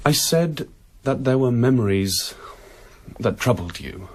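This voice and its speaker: A man's voice: medium pitch, lively intonation, measured pace, natural voice. A young man, played by an actor as an earnest grown son in a radio drama. His voice rises and falls a lot as he hesitates and defends himself, over faint noise. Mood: defensive, earnest. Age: young adult. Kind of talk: broadcast radio drama acting.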